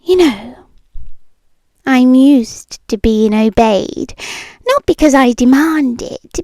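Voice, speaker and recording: A British woman playing a possessive, wealthy, menacingly sweet yandere in an intimate ASMR roleplay, drifting between soft deliberate speech and breathy whispers. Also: high-pitched, dry recording. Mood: smugly controlling. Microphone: close microphone, dry.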